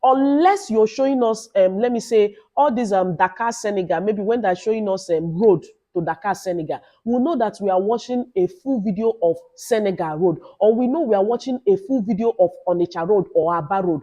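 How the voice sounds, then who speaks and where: A woman with a Nigerian accent talks to viewers in a loud but mellow, dark-toned voice at a medium pitch. She gives tips in an informal vlog style, stressing her points by repeating them and checking in with short tag questions. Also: brisk, hardly any pauses, highs cut off. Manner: emphatic, instructive, repeats phrases and adds "okay?". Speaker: YouTuber giving advice to new creators. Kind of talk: informal advice vlog with Nigerian Pidgin asides.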